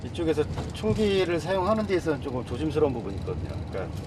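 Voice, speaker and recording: Slightly high-voiced airport worker explaining. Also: male voice, very animated, racing, noisy background. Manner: explains in a plain, practical way with fillers. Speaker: airport wildlife control worker. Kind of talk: on-the-job interview.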